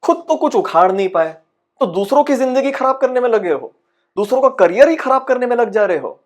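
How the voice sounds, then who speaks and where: Exasperated, high-pitched man acting a struggling young actor. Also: very animated, normal speed. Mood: angry, exasperated. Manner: exasperated, ranting, insistent, with rhetorical questions. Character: frustrated, paranoid aspiring actor venting about saboteurs. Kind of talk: dramatic comic audition monologue in Hindi-English mix.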